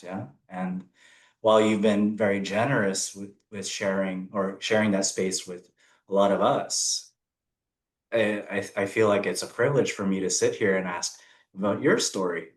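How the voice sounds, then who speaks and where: Low-voiced man hosting, roomy sound. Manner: halting, many restarts and fillers, earnest. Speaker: podcast host, forest therapy guide. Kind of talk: casual interview intro.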